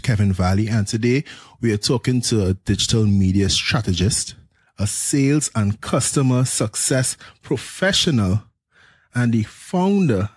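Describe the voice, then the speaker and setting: A man's voice: fairly low pitch, darkish tone, husky, dry recording. A man hosting a podcast interview in a friendly, chatty way. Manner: friendly, chatty, laughs easily. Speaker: podcast host.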